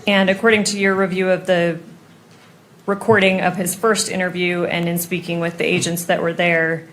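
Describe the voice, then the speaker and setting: American woman, courtroom lawyer, lowish flat voice, formal and precise, noisy room recording. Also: normal speed. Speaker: prosecuting attorney examining a witness. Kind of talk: courtroom questioning.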